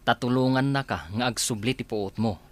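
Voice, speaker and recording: Male actor as an adult man, mid-pitched, coaxing and easily flustered, for radio drama. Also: a little fast, lightly acted. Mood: scolding, explaining.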